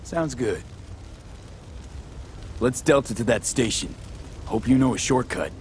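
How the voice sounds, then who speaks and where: An actor playing a steady, loyal young male mercenary with dry wit and a clipped, slangy way of talking, in a video game. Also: mid-range voice, unhurried, slight background noise, natural voice. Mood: wry, casual. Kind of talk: cinematic video game acting.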